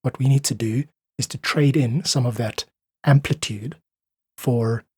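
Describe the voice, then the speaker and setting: A man's voice: medium pitch, fairly even intonation, measured pace, a little mellow, husky, dry recording, studio quality. A South African man speaking earnestly and articulately as an interview guest, explaining his ideas in conversation. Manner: earnest, articulate, uses analogies. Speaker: podcast guest, independent musician.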